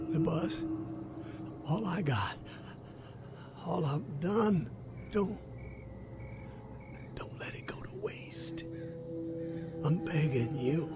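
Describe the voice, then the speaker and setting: An actor playing a battered, dying male survivor in a video game, weak and short of breath, pleading bitterly in halting, breathy speech that breaks into whispers. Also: on the high side, heavily acted. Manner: halting, labored, swearing, pleading. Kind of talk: dramatic video game acting. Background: music.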